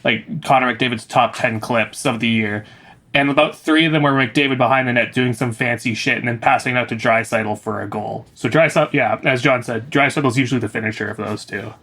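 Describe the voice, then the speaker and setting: A man's voice: mid-range voice, brisk, fairly bright tone. A man chatting casually about hockey as a podcast co-host playing a guessing game. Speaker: podcast co-host and quiz contestant. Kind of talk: casual sports chat.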